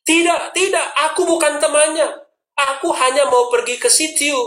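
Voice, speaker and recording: Preacher putting on a scared country man, pitched up, pleading, roomy church sound. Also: moderate pace, a bit bright. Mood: nervous denial. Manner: nervous, pleading, in a village dialect. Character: frightened village man denying he knows someone.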